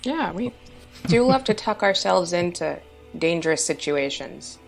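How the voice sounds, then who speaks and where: Female podcast panelist, deep for a woman, creaky, casual teasing delivery. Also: animated, unhurried, soft background music. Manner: joking, teasing. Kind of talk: casual chat.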